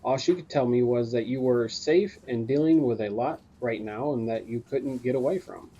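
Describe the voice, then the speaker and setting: A man playing a caring, earnest friend and coworker in an audio drama, speaking gently. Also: mid-range voice, moderate pace, slight background noise, close to an everyday voice. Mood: worried. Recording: dull, muffled recording.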